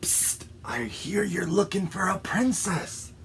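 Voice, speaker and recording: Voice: mid-range voice, very animated, slow pace, vocal fry, slight background noise, exaggerated voice. A storyteller voicing a sly, smug storybook fox who lures listeners in like a conspirator. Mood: whispering, secretive. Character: sly scheming fox posing as magical helper.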